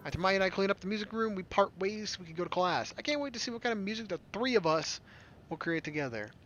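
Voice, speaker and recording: A man's voice: sits high, bright, forceful tone. A man reading a visual novel aloud as a gaming host, adding casual, joking asides. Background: game music.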